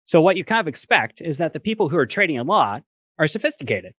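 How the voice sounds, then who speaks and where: A man speaking as a guest expert on a video call, explaining step by step in a measured, academic way with worked examples. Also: on the high side, big pitch swings, fairly bright tone, husky, no echo, studio quality. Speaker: finance professor, guest expert. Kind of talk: explanatory talk on a livestream.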